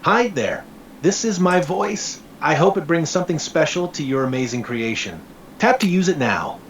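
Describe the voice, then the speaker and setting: A man's voice: on the high side, animated, normal speed, slight background noise. A synthetic man's voice reading scripted promotional narration as a text-to-speech demo.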